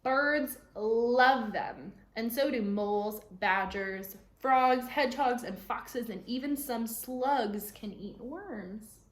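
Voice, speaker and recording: A woman's voice: fairly high pitch, slow, few pauses. A woman voicing an earnest, enthusiastic grandmother in a storybook, explaining patiently and emphatically to a child. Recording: roomy echo, faint background noise.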